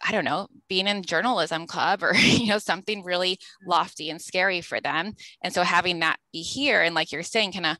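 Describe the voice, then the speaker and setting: A woman with a low, gravelly voice that still has a bright, tense edge talks through ideas, stopping and restarting often. The sound is close and dry, with no room around it. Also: no room sound, poor audio. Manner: halting, with frequent restarts and filler. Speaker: speech-language pathologist. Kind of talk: casual professional conversation.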